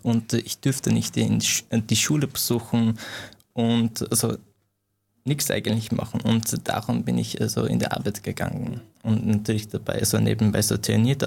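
A man's voice: lowish voice, flat delivery, normal speed, creaky, a little mellow, breathy edge. A young man who grew up in Iran, speaking German as an in an interview, hesitant and full of fillers and restarts. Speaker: guest, martial arts coach.

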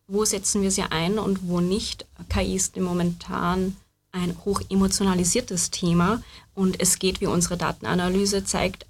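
She is a woman with a medium-pitched voice. She explains in a formal, structured way, like someone presenting research. There is a little noise in the recording. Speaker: panel guest presenting research.

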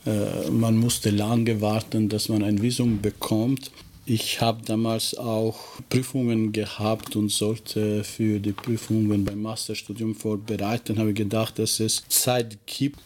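A middle-aged man from the former Yugoslavia with an Albanian accent, a teacher answering interview questions in halting, non-native phrasing. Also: on the low side, a little mellow, slight background noise. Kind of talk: interview answers in a second language.

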